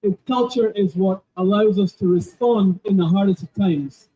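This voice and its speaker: A man's voice: high voice, normal speed, a little hiss or hum. A man on a panel whose first language is Gujarati, speaking earnestly and reflectively with frequent restarts and fillers. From Kenya. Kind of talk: panel discussion. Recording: tinny phone-like audio.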